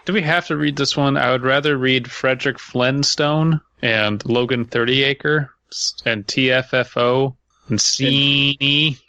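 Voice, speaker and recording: A man's voice: medium pitch, fairly even intonation, a little slow, pushed and bright, no echo. A man co-hosting a podcast, joking casually in a mock-serious way. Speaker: podcast co-host.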